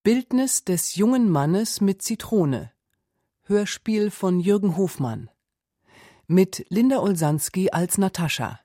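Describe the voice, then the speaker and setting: A woman's voice: medium pitch, animated, slow, warm tone, no room sound, clean studio sound. A woman reading scripted radio play credits as the announcer.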